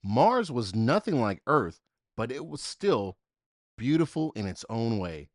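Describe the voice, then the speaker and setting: A man reads a children's storybook with a lively, swooping delivery. His pitch sits in a middle range and moves widely, with deliberate, dramatic emphasis. The recording is dry and close. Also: no room sound. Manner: dramatic, deliberate phrasing with emphasis for young listeners. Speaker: storybook reader. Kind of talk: expressive children's story read-aloud.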